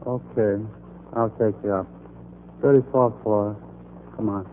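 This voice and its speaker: A man's voice: fairly low pitch, fairly even intonation, a little slow, phone-quality sound, close to an everyday voice. An actor playing a meek, compliant old night elevator man, plain-spoken, in a 1940s radio drama. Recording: thin, faintly noisy 1940s radio recording.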